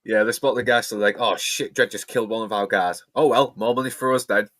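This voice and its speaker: A man's voice: on the low side, fairly even intonation, quick pace, fairly bright tone. A Scottish man hosting a casual movie watch-along podcast, talking bluntly and swearing freely.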